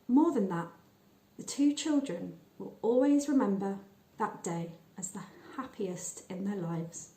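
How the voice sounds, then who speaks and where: A woman reading a short story aloud in a measured, expressive storytelling manner with deliberate pauses. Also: medium pitch, echoey room. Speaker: story reader. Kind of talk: scripted narration of a short story.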